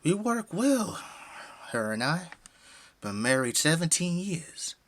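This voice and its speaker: A man's voice: on the high side, sing-song, slow, a bit bright, heavily acted. An actor playing a friendly, folksy middle-aged family man with an American Southern accent in an audio drama. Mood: storytelling, easygoing. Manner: effusive, folksy, hospitable.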